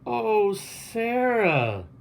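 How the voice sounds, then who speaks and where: A man voicing a gushing, brisk female school principal with emphatic delivery in a picture book read-aloud. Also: sits high, huge pitch swings, vocal fry, a little hiss or hum, voice pushed up, exaggerated voice.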